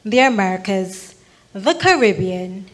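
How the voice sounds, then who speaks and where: A woman reads an official proclamation at a podium. Her delivery is slow and deliberate, with a mid-pitched voice. Each phrase is set out formally and ceremonially. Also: animated. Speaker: legislative aide reading a proclamation. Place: event hall stage at a podium. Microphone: podium microphone.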